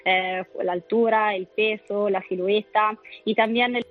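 A woman's voice: mid-range voice, fairly even intonation, a little slow. Italian-accented woman on a phone line. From Italy. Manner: hesitant, many fillers and restarts. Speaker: company founder guest. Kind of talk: radio phone interview. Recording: tinny phone line under music.

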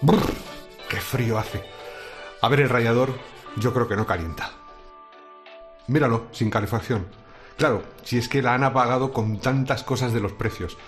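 A chatty middle-aged night guard who grumbles his way through a cold shift in a radio play, played by a man. His voice is mid-pitched and everyday. A soft bed of music runs behind him. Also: moderate pace, pauses often, natural voice. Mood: grumbling. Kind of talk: radio drama acting.

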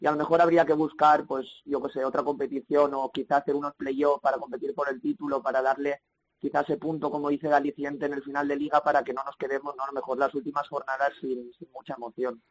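Slightly hoarse Spanish man on noisy phone. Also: mid-range voice, level tone, fast talker. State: somewhat hoarse. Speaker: radio panelist. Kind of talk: casual sports discussion. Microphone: telephone call. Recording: noisy telephone line.

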